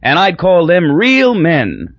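A man voicing a loud, boozy, crude middle-aged businessman who talks in folksy bluster. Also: a little high, huge pitch swings, slow pace, dry recording, muffled recording, pitched above the performer's own voice, full character voice.

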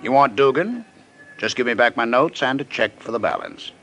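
An actor playing a slick, brazen fight promoter in an old radio drama, smooth-talking and insistent, with a noisy recording. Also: male voice, medium pitch, very animated, moderate pace, bright, forceful tone, muffled recording, soft background music, exaggerated voice. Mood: pushy, confident. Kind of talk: 1950s radio detective drama acting.

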